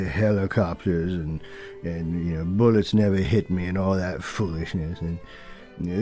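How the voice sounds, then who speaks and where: A man playing a doddering, opinionated old British film critic who rambles haltingly and mutters forgetful asides in a comic review monologue. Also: fairly low pitch, a little mellow, lightly acted.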